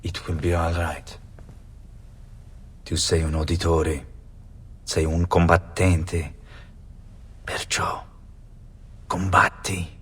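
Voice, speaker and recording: Calm Italian patriarch, deep, even, solemn and hushed, video game drama. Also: male voice, slow pace, long pauses, slightly rough, heavily acted. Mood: solemn, soothing. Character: calm, solemn Italian father soothing and urging. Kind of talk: video game cutscene acting. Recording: faint noise behind voice.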